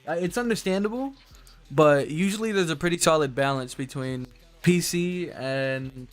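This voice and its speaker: A man's voice: a little high, normal speed. A man chatting casually with viewers as a game streamer, friendly, appreciative and slangy. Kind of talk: casual livestream chat with viewers.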